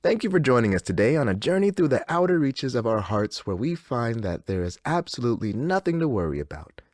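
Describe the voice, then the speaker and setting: Eerily cheerful space radio dj done by an actor, smooth and reassuring, pitch moves around, super dry booth. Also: big pitch swings, a little fast, a little mellow, no room sound, lightly acted. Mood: uncanny calm. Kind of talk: scripted fictional radio station patter.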